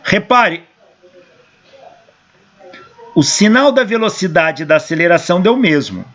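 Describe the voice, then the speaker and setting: A hoarse male engineering professor with a Brazilian Portuguese accent, working through equations step by step and answering his own questions, on a dull lo-fi recording. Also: high-pitched, expressive, fairly quick, a bit bright.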